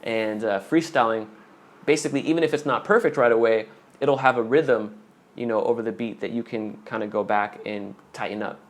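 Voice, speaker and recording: A man's voice: on the low side, brisk. A young man coaching rap on a live stream, answering viewer questions aloud in a casual way.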